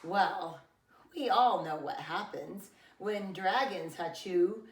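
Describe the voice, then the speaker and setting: A middle-aged woman reading a children's picture book aloud in an expressive, deliberate way with dramatic emphasis, like a preschool teacher. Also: on the low side, distant mic. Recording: roomy echo, away from the mic.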